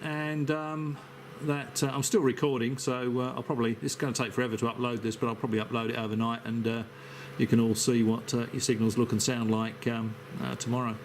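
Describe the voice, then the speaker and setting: Male radio hobbyist, medium pitch, hesitant casual net talk, light radio noise behind. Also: little pitch movement, a little fast. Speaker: amateur radio operator. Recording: faint hiss and radio noise.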